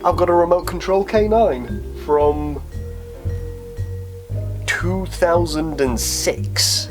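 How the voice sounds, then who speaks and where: A man's voice: a little high, slow, music in the background. A man in his twenties chatting casually to camera as he answers questions, with a wry, self-deprecating manner. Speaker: YouTube host answering viewer questions.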